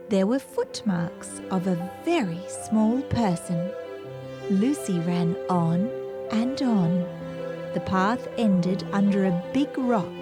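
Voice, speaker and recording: A woman narrating a scripted children's story as a storybook reader, with gentle music behind her. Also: medium pitch. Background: gentle background music.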